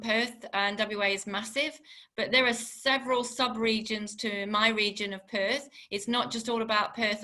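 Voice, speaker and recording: British woman with a slight Australian tinge, bright, fairly high voice, eager chatty presenter, video call. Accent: British with some Australian. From Channel Islands. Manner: enthusiastic, chatty, many fillers. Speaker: tourism board guest speaker. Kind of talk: webinar presentation.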